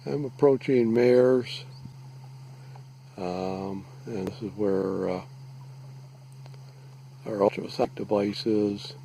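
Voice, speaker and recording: Slow, gravelly man narrating outdoors. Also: lowish voice, fairly even intonation, dark tone, poor audio. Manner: halting, deliberate, matter-of-fact. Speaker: lake resident surveying an algae bloom. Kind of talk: informal narrated field survey. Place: outdoors, out on a small lake. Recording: faint outdoor background noise.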